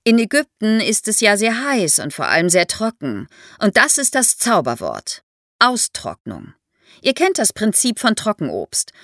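A woman's voice: mid-range voice, huge pitch swings, measured pace, fairly bright tone, no echo, studio quality. A woman explains history to young listeners as an expert guest, in a didactic way, posing questions as she goes.

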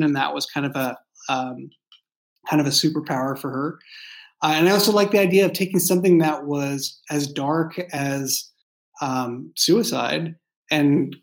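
A man speaking as a writer in a reflective interview, explaining his thoughts in a halting, thoughtful way. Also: on the high side. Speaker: writer and show creator being interviewed.

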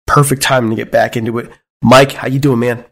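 A man's voice: mid-range voice, little pitch movement, a little fast. A man hosting a casual podcast chat, easygoing and chatty, asking his co-host open questions.

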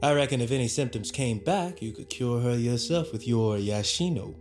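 An actor playing a practical, plain-spoken gardener with a folksy Southern US accent in an audio drama. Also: male voice, medium pitch, lively intonation, normal speed, darkish tone, natural voice. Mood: easygoing. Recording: music behind the voice.

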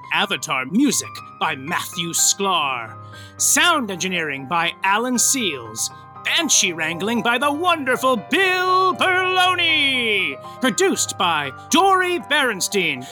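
A man's voice: high voice, sharp tone. A man narrating a comic podcast soap opera, reading the end credits in a playful, flamboyant way. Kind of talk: theatrical credits read-out.

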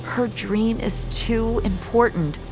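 A woman's voice: on the high side, slow pace, over music, pitched above the performer's own voice, poor audio. A woman voicing a hardened but protective female fugitive in an audio thriller. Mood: regretful.

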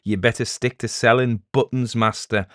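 A man's voice: medium pitch, steady tone, moderate pace, fairly bright tone, dry recording, clean studio sound, voice pushed up, lightly acted. A man voicing a big, proud village leader in a storybook tale, commanding, blustering and mocking. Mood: scornful, triumphant. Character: big, domineering village man, gruff, scornful, proud charm-worker. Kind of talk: storybook character voice in a narrated tale.

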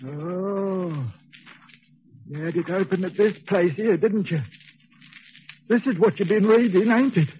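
An actor playing a sick, uneducated convict in a 1940s radio drama, suspicious and pleading, fearful and close to delirium. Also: male voice, high voice, measured pace, pauses often. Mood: suspicious, querulous. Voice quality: coughing, agitated voice. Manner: uneducated working-class grammar, pleading. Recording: noisy, muffled 1940s radio recording.

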